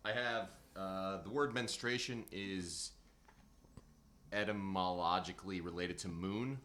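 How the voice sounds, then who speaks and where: A man reading out looked-up facts hesitantly, in an understated, halting manner. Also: low-pitched, fairly even intonation, bright, forceful tone.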